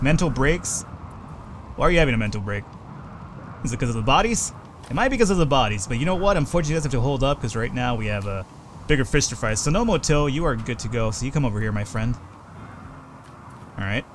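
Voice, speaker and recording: A man casually commenting on his strategy game as he plays, talking to his units and swearing now and then, his voice a little hoarse. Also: medium pitch, slight background noise. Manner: chatty, swears when frustrated. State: slightly hoarse. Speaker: let's play host.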